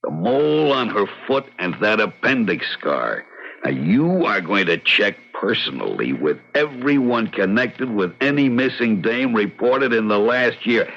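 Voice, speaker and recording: An actor playing a gruff, weary, sardonic New police lieutenant in a radio drama, barking slangy orders. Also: male voice, mid-range voice, fairly bright tone. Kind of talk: hard-boiled radio drama acting. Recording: dull, noisy old radio recording.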